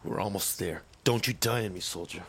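An actor playing a weary, determined military veteran with failing implants in an audio drama, gritty and urgent. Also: male voice, low-pitched, brisk, noisy background, lightly acted. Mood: tense, gruff. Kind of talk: cinematic audio drama acting with noir narration.

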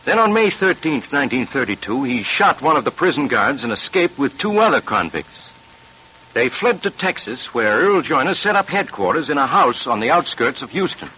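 A man's voice: medium pitch, big pitch swings, normal speed, pushed and bright. A man playing a matter-of-fact police superintendent, narrating formally and emphatically in a 1940s radio crime show. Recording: noisy 1940s radio recording.

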